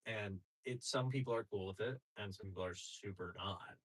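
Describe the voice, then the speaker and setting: A man's voice: lowish voice, normal speed, a bit bright. A man, a comedian, chatting animatedly on a podcast and slipping into little put-on voices. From Greek family. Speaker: comedian guest. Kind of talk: casual podcast chat.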